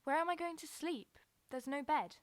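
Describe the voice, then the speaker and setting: A woman's voice: sits high, normal speed, no room sound, close to an everyday voice. A woman playing a reserved, dry-witted young evacuee girl in a wartime audio play. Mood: subdued.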